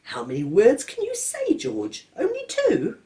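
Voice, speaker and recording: A woman's voice: high-pitched, huge pitch swings, unhurried, creaky, dark tone, voice pushed up, exaggerated voice. A woman voicing an eager young schoolgirl who is the baby's big sister, in a storybook reading. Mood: coaxing.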